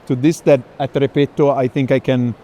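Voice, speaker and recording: A man's voice: medium pitch, little pitch movement, moderate pace, mellow. A man hosting a conversational tour of an art fair, asking questions and echoing his guests appreciatively. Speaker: interviewer and curator. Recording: faint urban ambient noise.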